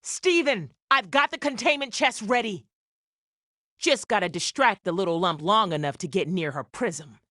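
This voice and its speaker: A woman playing a brash, hearty, loyal female gem builder in an animated game, talking in a punchy, blunt, folksy way. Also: medium pitch, moderate pace. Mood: determined. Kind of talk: animated game cutscene acting.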